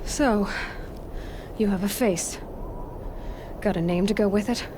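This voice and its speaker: A woman's voice: mid-range voice, slow, lightly acted. A woman playing a sarcastic young huntress. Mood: curious. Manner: weary, sarcastic. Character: determined young huntress, sharp-tongued. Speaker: game protagonist. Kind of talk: video game cutscene acting. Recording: game audio with clear background noise.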